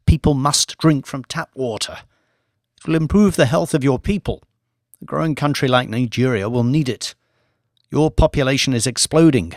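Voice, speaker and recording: A man's voice: mid-range voice, no echo, studio quality, natural voice. Man playing an insistent, persuasive male negotiator. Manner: earnest, pressing persuasion.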